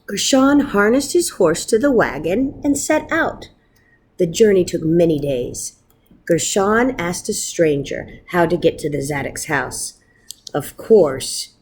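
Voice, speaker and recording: A woman reading a picture book aloud as a storyteller, with expressive narration meant for children. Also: on the low side, slightly rough.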